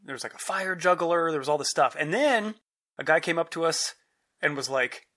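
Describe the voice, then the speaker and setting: A man's voice: fairly high pitch, expressive, moderate pace, sharp tone. A man casually recapping a family trip in chat with his family, eagerly explaining what they saw. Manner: enthusiastic, explains things to the listener.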